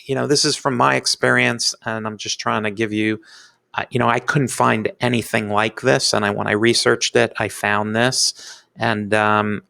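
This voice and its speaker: Man, heavy vocal fry, bright forceful tone, halting casual product walkthrough, enthusiastic. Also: on the low side, no echo. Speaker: software reviewer. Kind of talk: casual screencast product review. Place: indoors, screen-recording voice-over.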